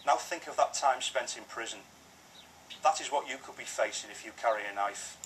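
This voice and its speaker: A man's voice: mid-range voice, a little fast, a bit bright. A British man, a police officer, giving a scripted public safety appeal in a formal, earnest manner. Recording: distant, slightly noisy screen playback.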